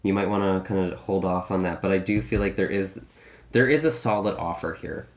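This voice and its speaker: Deep-voiced man, slightly raspy. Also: brisk. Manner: musing, thinks aloud with many hedges and restarts. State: slightly raspy from a recent illness. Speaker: tarot reader. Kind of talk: casual tarot reading.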